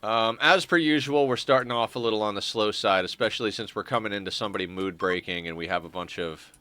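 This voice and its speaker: A man's voice: on the low side, quick pace, pushed and bright, no room sound. A young man with a stuffed-up nose giving rambling, wry commentary while streaming a game. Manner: rambling, self-correcting, wry. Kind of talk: casual gameplay commentary.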